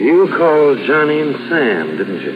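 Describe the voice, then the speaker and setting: A man's voice: a little high, sing-song, normal speed, music in the background. An American man playing a tough, commanding police detective in a 1950s radio crime drama, clipped, brusque and authoritative. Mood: accusing. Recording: tinny, noisy old radio recording.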